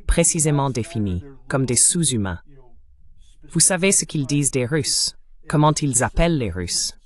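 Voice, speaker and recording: Guy doing voice-over translation of political commentary, very animated intonation, mid pitch. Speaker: voice-over translator of an interview guest.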